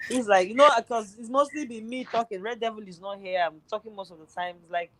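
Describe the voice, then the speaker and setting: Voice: medium pitch, fairly quick, dry recording, rough recording. Football pundit, hesitant, voice worn thin, dry recording. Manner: hesitant, thinking aloud with many fillers. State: voice tiring, nearly gone. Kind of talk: casual football podcast chat.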